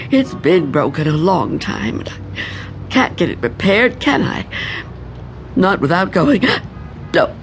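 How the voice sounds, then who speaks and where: An actress playing a frightened, suspicious shut-in old woman in a radio drama, fretful and halting, repeating herself. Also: low-pitched, slight background noise, full character voice.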